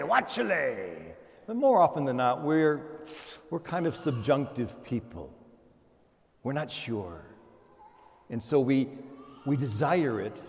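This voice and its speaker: A man's voice: on the high side, a little mellow. A male priest giving a sermon with emphatic, repeated phrases, recorded in a large reverberant church. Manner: emphatic, rhetorical repetition. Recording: echoing church hall, long reverb.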